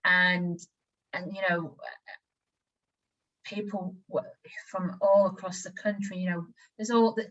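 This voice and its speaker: A woman's voice: fairly low pitch, steady tone, slow, pauses often, a bit bright, highs cut off. A woman from West Yorkshire with a Yorkshire accent, an interviewer sharing a personal story frankly in conversation. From West Yorkshire, England.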